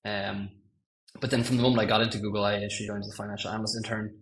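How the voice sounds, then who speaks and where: Low, flat-voiced Irish man. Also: racing, slight background noise. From Ireland. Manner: rambling and self-correcting, many ums, laughs easily. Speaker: guest, machine learning engineer. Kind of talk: casual podcast chat.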